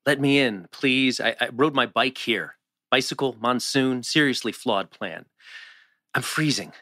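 A man's voice: mid-range voice, a little slow, pushed and bright, no room sound, clean studio sound. A man playing an earnest, supportive, understated teenage boy in a TV teen drama table read. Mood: pleading.

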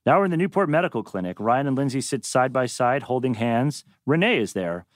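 A man's voice: mid-range voice, big pitch swings, brisk, no room sound, studio quality. A man narrating scene headings and action as the stage directions reader at a live script table read.

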